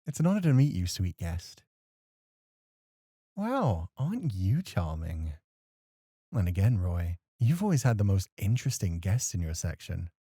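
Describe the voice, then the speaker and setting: A man playing a courteous, gently teasing club host in a soft, flirty ASMR roleplay, suave and polite. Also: low voice, sing-song, unhurried, pauses often, slightly rough, dry recording, studio quality, full character voice. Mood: gracious.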